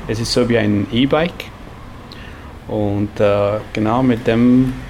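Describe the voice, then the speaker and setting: A man's voice: on the low side, fairly even intonation, a little mellow. Guy in his 40s from india, hesitant german, lots of uh, street noise around. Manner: halting non-native German with frequent fillers. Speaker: interviewee, rickshaw driver. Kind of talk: casual interview.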